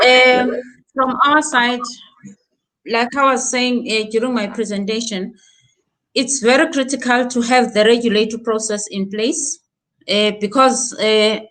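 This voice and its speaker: A woman from Africa, a regulator answering questions on a panel. She talks in a measured, hesitant way with many pauses and fillers. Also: fairly high pitch, a bit bright, poor audio. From South Africa.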